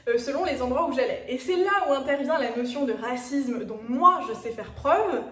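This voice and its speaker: A woman's voice: high-pitched, expressive, moderate pace, fairly bright tone, roomy sound, far from the mic. A woman in her twenties with a Parisian French accent, talking to viewers in a chatty personal vlog. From France. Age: 20s. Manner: chatty, direct address to viewers, frequent fillers. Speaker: YouTuber. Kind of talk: casual personal vlog talk.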